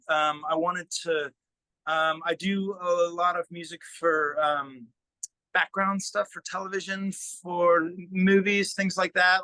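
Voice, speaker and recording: The musician guest in a dry radio-style studio interview, a man with a bright, cutting voice, piecing his answers together haltingly and conversationally. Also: on the high side, no room sound, poor audio. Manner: halting, searching for words, trailing off with "you know".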